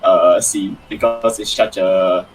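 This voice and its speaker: A man talking casually as a panelist on a card game stream, animated and quick to laugh, with many restarts. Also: deep voice, soft background music. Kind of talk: casual chat.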